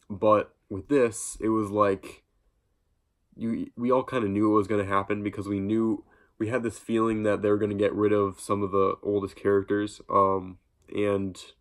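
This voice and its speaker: A man's voice: on the low side, fairly bright tone. A young man with a stuffy nose from a cold, chatting casually in a vlog and rambling with lots of ums and restarts. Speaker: YouTube vlogger. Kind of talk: casual reaction vlog talk.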